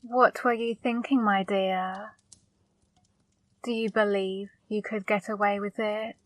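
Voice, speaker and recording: A woman playing a flirty, teasing female demon in an intimate ASMR roleplay, speaking softly and breathily, coaxing and gently scolding up close. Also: medium pitch, fairly even intonation, slow, no echo, natural voice. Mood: gently chiding. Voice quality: soft, breathy, partly whispered. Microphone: close microphone, dry.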